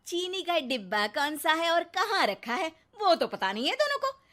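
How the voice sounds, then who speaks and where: A very high-pitched cartoon housewife and mother, played by a woman, sarcastic and nagging. She swoops through dramatic exclamations and biting rhetorical questions, forever feeling unappreciated. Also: very animated, normal speed, a bit bright, full character voice. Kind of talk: Hindi cartoon moral story acting.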